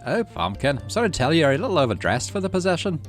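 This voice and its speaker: A man's voice: on the high side, very animated, rapid-fire, faint music behind, voice pushed up. A man voicing a cheerful, folksy farmer dad in a visual novel, chatting and explaining things to his child. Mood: chummy. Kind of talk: visual novel character read aloud.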